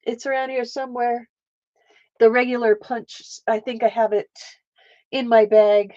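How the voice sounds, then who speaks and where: A woman's voice: fairly high pitch, steady tone, unhurried, a little mellow, dry recording, dull audio. A woman hosting a casual live art stream, chatting and laughing with viewers as she works, with a slight Canadian accent. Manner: chatty, giggly, talks to viewers while crafting.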